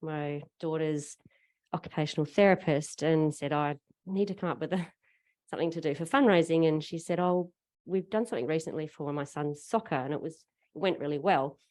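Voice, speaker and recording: A woman tells her fundraising story in a loose, rambling way, full of fillers and restarts. Her voice sits very low for a woman. She sounds like a parent speaking on a conference panel. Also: a little fast, a little mellow. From Australia. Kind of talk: casual conference panel talk. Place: conference room.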